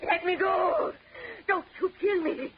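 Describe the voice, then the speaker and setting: An actress playing a frantic, cackling woman of eighty-two in melodramatic 1940s radio style, with drawn-out wailing delivery. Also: very high-pitched, shouting, some chatter behind. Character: frantic, cackling 82-year-old murderous aunt. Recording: noisy, muffled 1940s radio recording.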